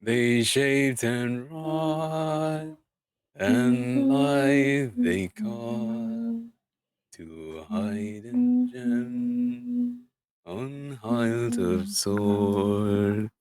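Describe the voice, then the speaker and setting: A solemn storybook dwarf acted out by a man sings in long, drawn-out notes. The tune wanders off-key across a wide range. It sounds amateur and dry, without room sound. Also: mid-range voice, big pitch swings, creaky, darkish tone, no room sound, pitched above the performer's own voice, heavily acted. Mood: solemn singing. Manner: slow, drawn-out, off-key chanting. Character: band of deep-throated male dwarves singing solemnly. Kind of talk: amateur sung storybook performance.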